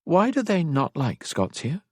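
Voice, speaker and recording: A man's voice: high voice, very animated, fairly quick, darkish tone, breathy edge, no room sound, studio quality, pitched above the performer's own voice, exaggerated voice. A man voicing a spirited, curious, stubborn young English noblewoman in an audiobook. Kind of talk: audiobook character dialogue.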